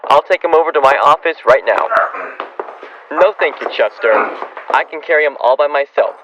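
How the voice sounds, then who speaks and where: Old western radio doc, male actor: fairly high voice, ghoulishly cheerful, drily chatty, dull lo-fi recording. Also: moderate pace, noisy background, natural voice. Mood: wryly gleeful. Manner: drily gleeful, chatty, wheedling. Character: wry, ghoulishly cheerful, money-minded frontier town doctor. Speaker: actor in a student radio drama.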